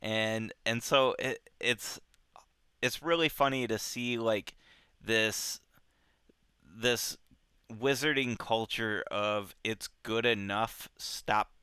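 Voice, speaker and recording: A man with a bright, smooth voice talks through a book in a casual, conversational way. He speaks slowly and haltingly, circling back over his phrases. The sound is close and very dry. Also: fairly low pitch, steady tone, no echo. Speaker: book club show host.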